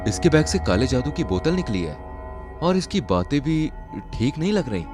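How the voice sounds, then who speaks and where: A man's voice: medium pitch, sing-song, normal speed, music in the background, close to an everyday voice. A man playing a chatty, easily frightened young traveller in a horror cartoon story. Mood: suspicious.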